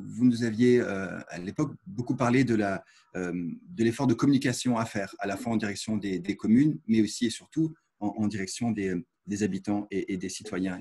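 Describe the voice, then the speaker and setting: A man moderating a webinar, speaking haltingly and often repeating words. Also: lowish voice, warm tone, slightly rough.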